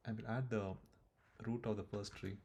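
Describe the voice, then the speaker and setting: A man's voice: lowish voice, fairly even intonation, normal speed, darkish tone, slight background noise. A man explaining code step by step as he types it, thinking aloud with fillers and restarts. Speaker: coding tutor. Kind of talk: screen-recorded coding walkthrough.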